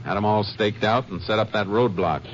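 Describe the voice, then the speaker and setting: An actor playing a steady Texas Ranger in a 1950s radio drama, calm, firm and matter-of-fact, also narrating. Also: male voice, lowish voice, moderate pace, natural voice. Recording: muffled vintage radio with faint hiss.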